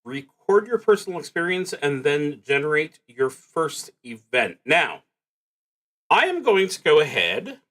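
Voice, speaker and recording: A man hosting a solo tabletop roleplaying stream, casually explaining rules and haltingly thinking aloud as he reads. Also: fairly high pitch, big pitch swings, a bit bright, low-quality recording.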